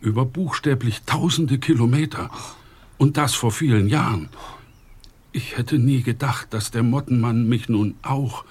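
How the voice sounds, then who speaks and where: An actor playing an anxious wealthy man in a radio play who speaks slowly and hesitantly. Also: mid-range voice, a little mellow, breathy edge. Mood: uneasy. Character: rich, anxious man haunted by his father's past.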